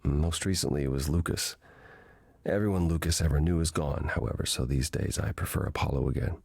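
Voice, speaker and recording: An actor playing a gentle, melancholy god in a video game, speaking in a measured, formal and earnest way. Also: male voice, very deep voice, gravelly, husky, dry recording, studio quality, full character voice. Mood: wistful. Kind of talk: video game dialogue acting.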